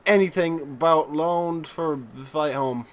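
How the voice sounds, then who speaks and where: A young man co-hosting a let's play, chatting casually in halting, rambling anecdotes. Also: a little high. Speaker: gaming channel co-host. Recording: faint background noise.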